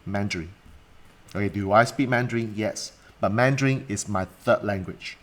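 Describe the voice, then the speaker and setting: A man's voice: on the low side, moderate pace, a bit bright. A man, a coach talking alone to viewers in a livestream, asking rhetorical questions and repeating points. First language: Cantonese. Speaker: life coach. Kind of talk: solo livestream talk.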